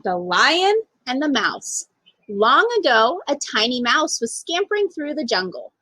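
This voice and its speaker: A woman reading a children's story aloud as an expressive storytime narrator. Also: sits high, unhurried, husky, no room sound, poor audio. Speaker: storytime reader.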